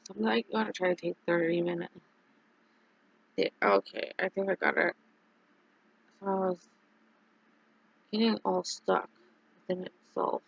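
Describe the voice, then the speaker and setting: Streamer, casual chat; heavy vocal fry, breathy edge, dull lo-fi recording, rambling delivery. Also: mid-range voice, a bit bright, no room sound. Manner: rambling, halting, many fillers and restarts.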